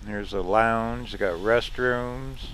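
A man's voice: lowish voice, steady tone, slow, a bit bright, a little hiss or hum, rough recording. A man narrating a casual travel vlog to the camera, chatty and chuckling often, recorded outdoors and in his car on a camera's built-in mic. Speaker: RV travel vlogger.

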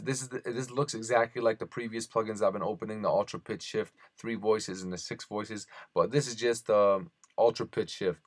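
A man hosting an informal software tutorial, speaking off the cuff in a halting way to his viewers. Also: fairly low pitch, dry recording, studio quality. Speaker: tutorial host.

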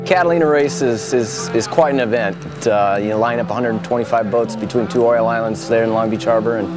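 A man speaking as a powerboat racer in a documentary interview. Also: mid-range voice.

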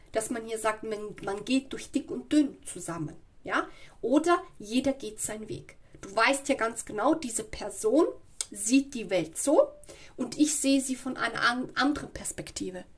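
Husky-voiced woman giving a tarot reading. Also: fairly high pitch. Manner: slow, searching and explanatory, with frequent "ja" and "okay". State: slight cold. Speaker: tarot reader. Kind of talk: spoken tarot reading to viewers. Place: home room with a tarot table.

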